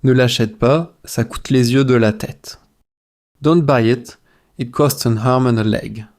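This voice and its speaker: A man reading language drills in a measured, repetitive way, phrase by phrase, as a teacher for shadowing practice. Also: mid-range voice, darkish tone.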